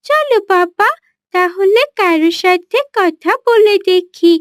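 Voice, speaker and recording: Very high-pitched, earnest little girl played by a woman. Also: slow pace, dry recording, studio quality. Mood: cheerful, admiring. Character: polite, earnest young city girl, hurt but friendly. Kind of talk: cartoon character dialogue.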